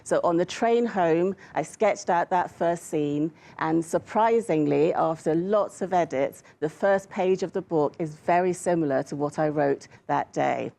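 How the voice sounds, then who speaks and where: A woman author presenting and reading fiction in a scripted style, with measured, expressive phrasing. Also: deep voice, vocal fry. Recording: music bed under the voice. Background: music.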